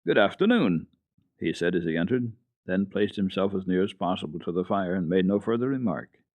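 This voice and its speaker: A man giving an unhurried, expressive dramatic reading of a classic children's book for radio, with the odd hesitation. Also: low voice, no room sound. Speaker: storyteller on a children's radio program.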